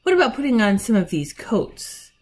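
A woman's voice: on the high side, very animated, moderate pace, a little mellow, husky, voice pushed up. A woman voicing a sensible, fussy older sister in a storybook, prim and practical.

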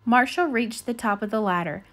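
A woman reading a children's storybook aloud in an expressive style. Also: fairly high pitch, moderate pace. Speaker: children's book reader.

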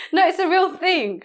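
A young woman co-hosting a podcast, chatting casually and asking quick teasing questions with frequent giggles. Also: very high-pitched. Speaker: podcast co-host. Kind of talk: casual chat.

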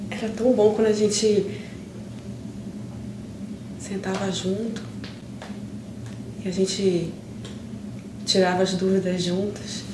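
Actress playing young brazilian woman, emotional n halting, pleading in improv theatre, echoey far off mic w noise. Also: medium pitch, level tone, natural voice. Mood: tentative, pleading. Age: young adult. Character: emotional young woman pleading with a long-lost school friend. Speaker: stage actress. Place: indoor theatre stage. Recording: roomy, distant, noisy stage recording.

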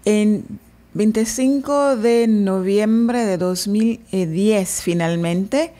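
A woman's voice: medium pitch, extremely slow, dark tone. A woman from Brazil, a native Portuguese speaker, talking as an interview guest in Spanish, friendly and sometimes searching for words.

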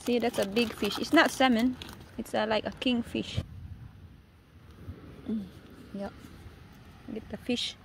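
A high-voiced woman with a Filipino accent talks to her phone camera in an unhurried, excitable way. She exclaims and laughs often. Clear background noise of wind and surf sits behind her voice. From Philippines. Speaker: vlogger. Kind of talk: casual vlog narration. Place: beach outdoors, later home kitchen.

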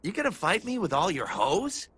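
Brash, animated assassin hero. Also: male voice, sits high, moderate pace, slight background noise. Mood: cocky. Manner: slangy, swaggering. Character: brash, cocky adult male assassin hero.

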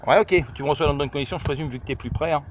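A man's voice: a little high, racing. A middle-aged man making informal radio calls during a field test, repeating himself and hesitating as he goes. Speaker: amateur radio operator testing a handset. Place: outdoors on a high viewpoint over countryside. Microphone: camera held just behind his shoulder. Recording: noisy outdoor phone camera, clicks.

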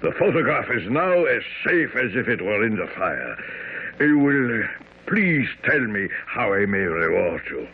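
A man's voice: on the low side, big pitch swings, unhurried. An actor playing a grand, effusive, admiring king in a 1970s radio drama. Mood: admiring, gracious. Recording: dull radio recording, faint noise.

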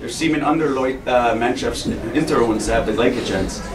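A man's voice: mid-range voice, moderate pace, a loud crowd. A man in his forties, a hockey coach recapping a game in simple, non-native phrasing with English words mixed in. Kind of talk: post-game press conference recap. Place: indoor press conference room. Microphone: handheld microphone. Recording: echoey, noisy room, distant sound.

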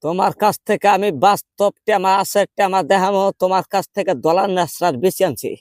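A man's voice: high voice, steady tone, a little fast, no room sound, studio quality, natural voice. A man voicing a poor, complaining, argumentative old village grandmother in a rural Bangladeshi dialect for a comic prank call. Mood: indignant, insistent.

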